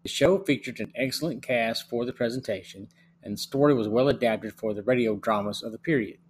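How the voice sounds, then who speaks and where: A middle-aged man hosting a review podcast, reading scripted narration in a measured way. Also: mid-range voice. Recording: faint hum and background noise.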